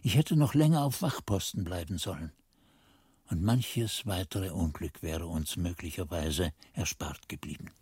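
Husky, earnest monk narrator. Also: male voice, sits low, a little mellow, no echo, heavily acted. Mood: reflective, rueful. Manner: measured literary storytelling, rueful. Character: earnest novice monk recounting his memories, reflective, anxious. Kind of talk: scripted radio drama narration.

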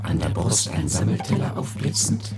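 A man's voice: low voice, normal speed, creaky. A man narrating a literary radio play in scripted, descriptive prose. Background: loud music.

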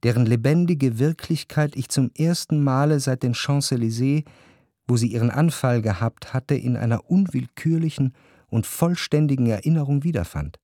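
An actor playing a reflective, grieving narrator in a literary radio drama, with measured, introspective and deliberate phrasing. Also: male voice, mid-range voice, mellow, natural voice. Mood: moved, tender. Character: reflective, grieving male narrator remembering his grandmother.

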